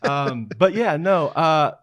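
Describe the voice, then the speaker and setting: A man's voice: a little high, animated, slow pace, a bit bright, slight background noise. Easygoing middle-aged man telling stories. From Oregon. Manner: easygoing storyteller, chuckles through answers. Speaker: guest, design executive. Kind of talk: casual interview chat. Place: small podcast studio. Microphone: studio mic on boom arm, headphones.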